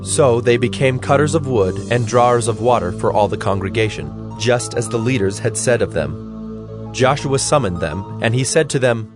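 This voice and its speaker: A man narrating a dramatized audio Bible as a scripted storyteller, in a measured, solemn manner. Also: mid-range voice, music in the background.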